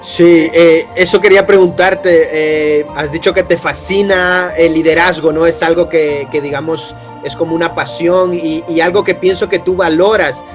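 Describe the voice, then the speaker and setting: Guy hosting an interview, kinda rambly questions, slightly high voice, music playing under him. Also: steady tone, moderate pace, hardly any pauses. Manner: rambling, rephrases his questions. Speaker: interview host.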